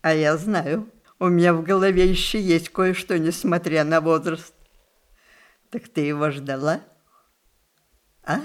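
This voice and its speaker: An actress playing a proud, tender old grandmother in an audio play, with theatrical, unhurried, knowing delivery. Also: low voice, fairly even intonation. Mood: tender, probing. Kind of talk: Russian stage-style audio play acting.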